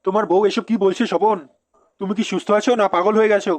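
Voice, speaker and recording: A man's voice: high-pitched, steady tone, fast talker, heavy background noise. A man voicing outraged, blustering village men in a comedy cartoon, speaking dramatically. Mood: dismayed.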